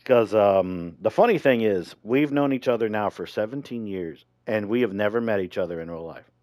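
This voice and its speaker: A man's voice: fairly low pitch, normal speed, darkish tone, no room sound. A man co-hosting a podcast, chatting casually and informally with a friend, with some swearing. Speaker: podcast co-host. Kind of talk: casual rambling chat with a friend.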